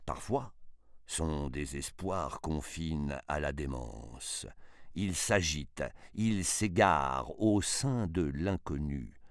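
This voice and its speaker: A man giving a dramatic, theatrical poetry recitation in an impassioned, declamatory manner, with emphatic swells and hushed drops. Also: sits low, dry recording, clean studio sound.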